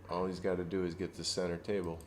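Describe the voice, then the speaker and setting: A man giving relaxed live commentary on a pool match, explaining each shot and joking dryly. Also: low voice, quick pace, slight background noise.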